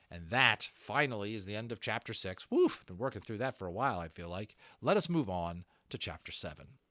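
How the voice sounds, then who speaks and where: Low-voiced man telling a story. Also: fairly bright tone, no echo, studio quality. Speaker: audiobook narrator. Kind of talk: expressive storytelling narration with casual asides.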